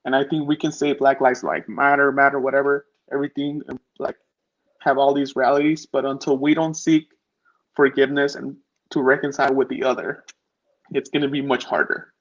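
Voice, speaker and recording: A man's voice: medium pitch, flat delivery, normal speed. Man, panel guest, casual and informal, muffled recording. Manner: informal, uses "like" as filler. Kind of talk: casual discussion. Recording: dull lo-fi audio.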